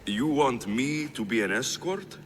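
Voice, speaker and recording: A man's voice: mid-range voice, a little slow, a little hiss or hum, close to an everyday voice. A man acting a bold, confident young Spartan mercenary in a video game, blunt and wry. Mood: cocky, wry.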